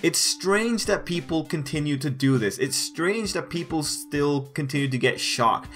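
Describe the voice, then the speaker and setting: A man's voice: fairly high pitch, lively intonation, measured pace, fairly bright tone, faint music behind. A man giving a casual manga review as a voice-over, emphatic and repeating phrases to drive points home. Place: voice-over over still images.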